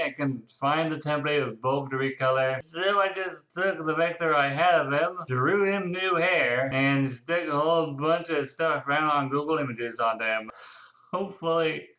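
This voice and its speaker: A man's voice: a little high, sharp tone, low-quality recording. A man giving a casual dramatic reading of fan fiction, breaking off for self-mocking, theatrical asides.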